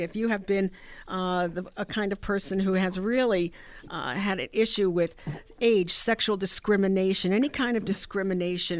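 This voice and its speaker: A woman's voice: mid-range voice, no echo. Upbeat woman radio talk show host. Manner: upbeat, with many fillers and restarts.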